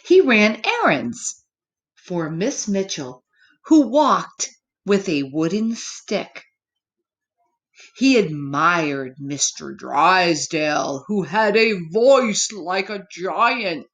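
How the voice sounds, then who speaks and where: Slow, expressive woman at children's story time. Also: lowish voice. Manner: expressive, gently questioning, speaks simply as to small children. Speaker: storyteller reading a picture book to children. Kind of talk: children's story time, read-aloud with asides to young listeners.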